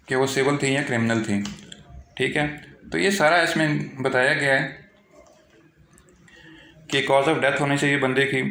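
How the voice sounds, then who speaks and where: A man's voice: mid-range voice, pushed and bright. Echoey Pakistani man teaching law. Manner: repetitive, filler-heavy explaining with English legal terms mixed in. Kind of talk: informal explanatory lecture, reading clauses then explaining. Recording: echoey, roomy sound.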